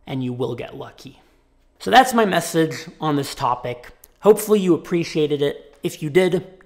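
A young man talking to camera in a casual vlog, sick and raspy, animated, from a small home office. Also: fairly high pitch. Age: young adult. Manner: animated, gestures a lot with his hands. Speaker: tech YouTuber.

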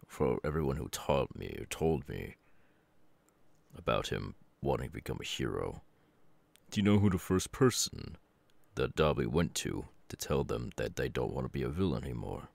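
Man acting out a very deep, gravelly weary father. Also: dry recording, full character voice. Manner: slow, deliberate, halting delivery with sighs. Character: stern, weary middle-aged superhero father, brooding but caring. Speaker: voice actor. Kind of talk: ASMR audio roleplay.

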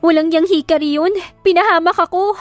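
Woman voicing a high-pitched, feisty, panicky young woman. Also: a little fast, no echo, soft background music. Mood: exasperated. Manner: stammering, exclamatory.

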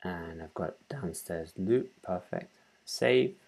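A man in his thirties giving an informal step-by-step software tutorial, haltingly, thinking aloud as he works. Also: deep voice, vocal fry, breathy edge. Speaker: tutorial presenter. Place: small room at home, indoors.

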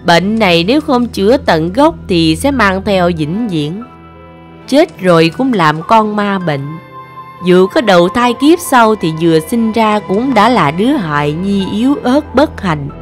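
A woman voicing a stern, bearded male Taoist priest who admonishes solemnly in an animated folk tale. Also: mid-range voice, measured pace, faint music behind, lightly acted. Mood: solemn warning.

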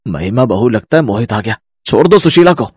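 A man's voice: mid-range voice, very animated, a little fast, darkish tone, slightly rough, no room sound, studio quality. A man voicing an anxious middle-aged father-in-law in a cartoon, earnest and pleading. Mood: firm.

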